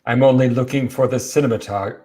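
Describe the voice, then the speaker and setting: A man's voice: medium pitch, steady tone, a little fast, warm tone, close to an everyday voice. A man playing a courtly foreign vampire count with formal, courteous manners in a horror play.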